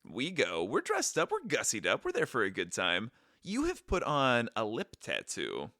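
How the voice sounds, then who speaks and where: Playful, very animated man hosting a podcast. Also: medium pitch, normal speed, no room sound, studio quality. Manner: playful, jokey, lively. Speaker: podcast host. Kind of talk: casual podcast chat.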